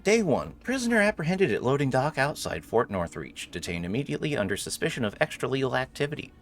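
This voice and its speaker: A man's voice: mid-range voice, big pitch swings, fast talker, faint music behind, voice pushed up. A man reading out a formal interrogation log in a clipped, officious manner. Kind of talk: dramatised document read-aloud.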